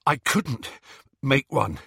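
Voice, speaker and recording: A man's voice: on the low side, very animated, a little slow, fairly bright tone, husky, no room sound, studio quality, heavily acted. A man voicing a stubborn, troubled teenage boy hero in an audiobook, with a firm, defensive manner. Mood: breathless, dejected.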